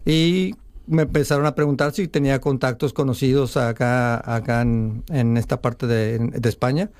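A man with a Mexican Spanish accent, a guest in a radio studio, telling his story in a friendly, unhurried way. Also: mid-range voice, fairly even intonation, a little mellow, dry recording. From Texas and northern Mexico. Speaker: guest, sports medicine doctor. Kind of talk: radio interview chat.

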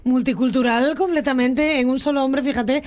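A woman's voice: high voice, fairly even intonation, normal speed. Upbeat woman radio host. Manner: upbeat, enthusiastic, many exclamations. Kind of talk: radio interview chat. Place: radio studio.

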